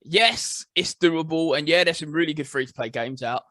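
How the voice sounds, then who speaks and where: Male podcast co-host, bright tense tone, fairly high, agreeable and chatty. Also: no echo, studio quality. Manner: agreeable, chatty, quick to laugh. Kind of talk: casual podcast chat.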